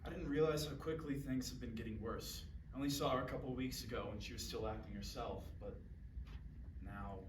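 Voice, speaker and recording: A man's voice: medium pitch, brisk, close to an everyday voice. A man playing a concerned, supportive family member in a staged play reading. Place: live indoor staged reading, far from mic. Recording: distant, echoey room recording with loud noise.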